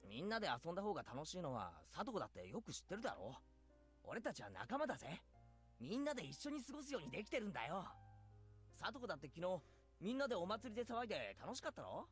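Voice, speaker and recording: An actor voicing an energetic teenage boy in a game, talking in a rough, pushy, casual way. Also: high-pitched, rapid-fire, soft background music, full character voice. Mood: insistent. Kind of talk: anime game voice acting.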